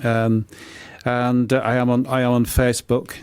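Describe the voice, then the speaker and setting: A middle-aged man answering in a radio interview, halting and often repeating words as he explains. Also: mid-range voice, level tone, clear, resonant tone, dry recording. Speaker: author and poet being interviewed.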